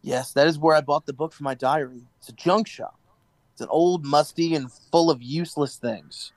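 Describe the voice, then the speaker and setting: A man playing a nervous, earnest dystopian rebel in an audio drama, speaking urgently and haltingly. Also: fairly high pitch, dry recording, natural voice. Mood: curious, earnest.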